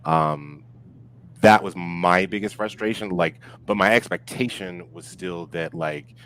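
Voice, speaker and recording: A man with a very deep voice talks sport casually as a podcast guest. He is halting and reflective, often circling back to rephrase. A little background noise sits under his voice. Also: fairly bright tone, low-quality recording. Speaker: podcast guest discussing football.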